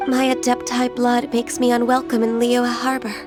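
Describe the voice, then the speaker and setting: A woman voicing a gentle, melancholy, dutiful half-immortal young woman in a video game, soft-spoken, formal and wistful. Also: fairly high pitch, monotone, moderate pace, close to an everyday voice. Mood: melancholy, resigned. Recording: clear music under the voice. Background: music.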